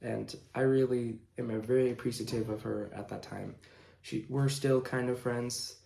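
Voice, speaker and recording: A man in his late teens casually telling a personal story in a halting, informal way, pausing mid-sentence. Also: medium pitch, steady tone, vocal fry, warm tone. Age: young adult, late teens. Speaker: vlogger telling own story. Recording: roomy, echoing indoor sound.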